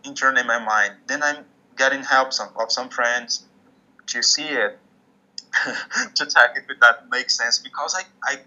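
Bright-voiced Portuguese-speaking man, hesitant English. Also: medium pitch, a little slow. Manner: hesitant, restarts often, searching for English words. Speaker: musician guest. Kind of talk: interview conversation. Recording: dull lo-fi sound.

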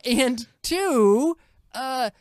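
A woman's voice: high-pitched, expressive, extremely slow, a little mellow, no echo, studio quality. A woman hosting a casual film review chat, speaking emphatically and with strong opinions. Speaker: film review podcast host.

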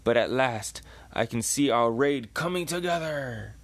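A man's voice: medium pitch, expressive, measured pace. A man voicing a formal, earnest and hopeful samurai while reading a comic aloud. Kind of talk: comic book read aloud in character.

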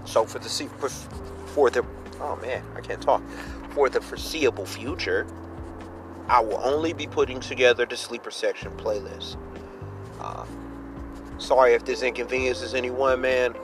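A man's voice: mid-range voice, normal speed, pauses often, music in the background. A man hosting a podcast, talking casually and informally with slang and the odd swear word. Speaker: podcast host.

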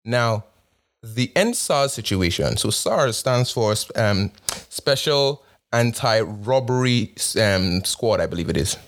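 A young man with a low-pitched voice talks in a relaxed, conversational way. His accent mixes Nigerian and North American sounds. He often uses fillers and restarts his sentences. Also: slow pace. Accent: Nigerian with North American influence. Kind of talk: casual monologue.

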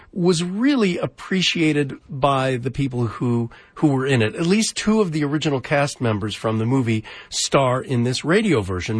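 A man's voice: mid-range voice, no room sound. An American man hosting a radio show in a conversational presenting style.

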